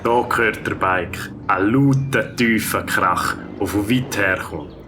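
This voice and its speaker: A man's voice: fairly low pitch, slow pace, noisy background. A man with a Swiss German accent narrating a scripted children's story.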